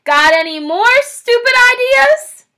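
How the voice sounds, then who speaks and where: A woman voicing a tiny, hot-tempered male farmer villain in a storybook, shouting aggressively. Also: very high voice, very animated, takes it slowly, pitched above the performer's own voice, exaggerated voice. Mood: scornful.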